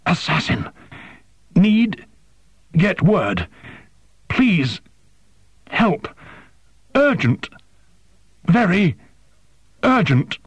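A man voicing a wounded alien agent who wheezes and croaks out broken words in pain. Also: sits high, very animated, extremely slow, long pauses, dry recording, voice pushed up, exaggerated voice. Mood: desperate.

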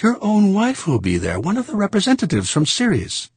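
A man voicing an excitable older man, raised and exclaiming, in an audiobook. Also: sits high, brisk, slightly rough, dry recording, pitched above the performer's own voice, exaggerated voice. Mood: incredulous.

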